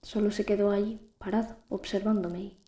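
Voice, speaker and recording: A woman's voice: medium pitch, flat delivery, fairly quick, mellow, echoey room, lightly acted. A young woman playing a lonely, frightened teenage girl who narrates her ordeal in an emotive audio drama. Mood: awed, subdued. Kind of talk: dramatic first-person audio fiction narration.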